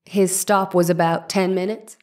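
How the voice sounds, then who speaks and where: A woman's voice: lowish voice, flat delivery, normal speed, darkish tone, natural voice. A woman playing a courteous, careful male 1890s defense lawyer, questioning formally and precisely in a trial drama. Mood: courteous, insistent. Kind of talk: dramatic reading of trial transcript.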